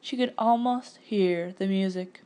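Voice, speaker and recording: A woman narrating a picture-book story aloud in an expressive, scripted storytelling style. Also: medium pitch, measured pace, smooth.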